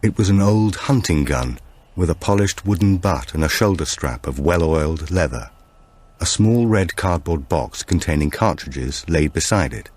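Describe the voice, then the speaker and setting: A man's voice: deep voice, lively intonation, moderate pace, a little mellow. A man narrating a supernatural thriller audiobook from a script.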